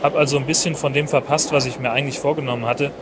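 A man hosting his podcast in a chatty, relaxed way with many fillers and restarts, recorded outdoors at a busy conference venue. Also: medium pitch, rapid-fire. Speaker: podcast host. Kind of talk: casual solo podcast recap. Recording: noisy outdoor background. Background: crowd and traffic ambience.

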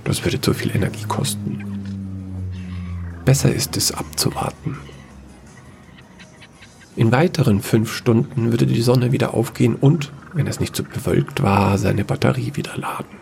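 A man's voice: mid-range voice, moderate pace, gravelly, long pauses, darkish tone, soft background music. A man reading scripted narration for a science-fiction fairy tale audio story.

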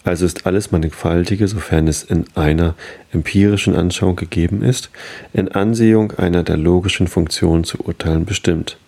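A man in his thirties hosting a podcast, rambling softly and questioning himself before reading aloud to help listeners fall asleep. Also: low voice, fairly even intonation, a little fast, vocal fry. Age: 30s. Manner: rambling, self-questioning, soft-spoken. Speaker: podcast host. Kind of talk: casual chat then sleepy reading aloud.

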